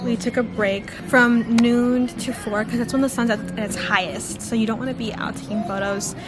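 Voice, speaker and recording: A young woman in her twenties talks to camera with a congested, slightly high voice. She is chatty and upbeat and laughs easily. Loud music plays under her voice the whole time. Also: steady tone, normal speed. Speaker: vlogger. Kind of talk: casual vlog chat to camera.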